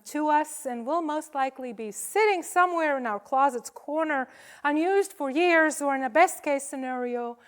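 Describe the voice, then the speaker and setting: A woman giving a conversational sermon to a congregation, speaking as a preacher in short, paused phrases. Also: sits high.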